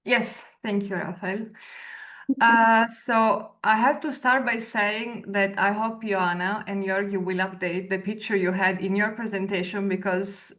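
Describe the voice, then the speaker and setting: A woman in her thirties with an Italian accent, a researcher and lecturer giving a webinar presentation over a video call with clear explanations and brief hesitations. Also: medium pitch, fairly even intonation, measured pace, a bit bright. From Italy. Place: indoor room at home or office. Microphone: video call, laptop mic.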